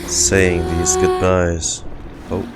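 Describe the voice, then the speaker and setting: A man gives casual game reaction commentary in a lowish voice at a slow pace. He throws in blunt, joking asides while loud music plays behind him. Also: completely flat delivery.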